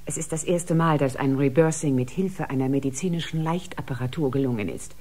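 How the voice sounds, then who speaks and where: A woman's voice: a bass voice, fairly quick, warm tone. A woman playing a composed female doctor in a German audio drama, speaking in a formal, factual way. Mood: proud, factual. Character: composed female doctor proudly explaining cryosleep technology.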